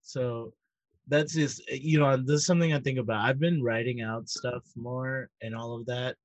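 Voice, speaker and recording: A man's voice: medium pitch, steady tone, normal speed, no room sound, highs cut off. Man in his thirties, rambling casual chat. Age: 30s. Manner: rambling, self-correcting, laughs easily.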